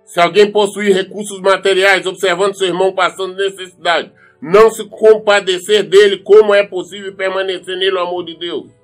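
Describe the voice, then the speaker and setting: Male preacher, Brazilian accent, high voice, earnest and emphatic, faint music. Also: level tone, brisk. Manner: earnest, admonishing; emphatic, repeats phrases for stress. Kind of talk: sermon with scripture reading.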